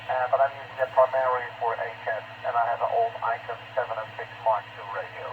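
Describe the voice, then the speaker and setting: A man with a Dutch accent chatting casually as an amateur radio operator, speaking haltingly with long pauses. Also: medium pitch, little pitch movement. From Netherlands. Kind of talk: casual ham radio chat. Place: living room at home. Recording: tinny narrowband radio link with clear hiss.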